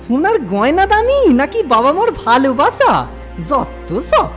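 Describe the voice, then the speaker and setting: A woman voicing an impudent but good-hearted young male petty thief in a comedy cartoon, with animated rustic speech. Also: high voice, a little slow, exaggerated voice. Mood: indignant scolding. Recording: faint music behind.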